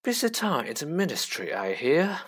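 A man's voice: on the high side, wildly swooping pitch, normal speed, fairly bright tone, husky, voice pushed up, exaggerated voice. A man doing a drawling, sneering aristocrat. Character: sneering cold aristocratic older wizard.

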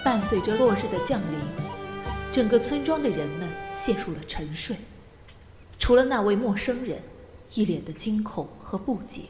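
An actress playing the solemn, measured storyteller of an eerie fable, narrating a radio drama. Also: female voice, mid-range voice, lively intonation, close to an everyday voice. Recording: echoey with long reverb. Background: background music.

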